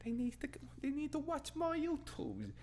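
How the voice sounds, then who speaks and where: A man's voice: very high-pitched, normal speed, a little mellow. A man hosting a casual podcast chat, reacting in a playful, exaggerated way. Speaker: podcast host. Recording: clear background noise, room ambience.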